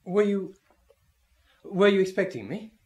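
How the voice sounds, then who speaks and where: Timid flustered guest, stammering, echoey distant recording, vintage radio drama. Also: male voice, sits high, animated, unhurried, a little mellow. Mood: flustered, nervous. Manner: hesitant, stammering. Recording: echoey, distant, noisy old broadcast.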